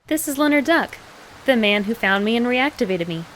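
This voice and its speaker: A woman acting as a female android agent in a cartoon. Her voice sits a little high and stays composed. She is confident and earnest, over faint music. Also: lively intonation, normal speed, natural voice. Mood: determined. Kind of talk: comic dub voice acting.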